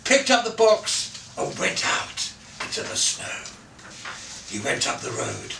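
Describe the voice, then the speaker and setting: Animated, high-pitched man telling a children's story. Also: gravelly, slight background noise. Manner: theatrical, savours lists, dramatic phrasing with soft endings. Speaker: storyteller reading own Christmas story. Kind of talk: expressive scripted children's story narration. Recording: roomy echo, distant from the mic.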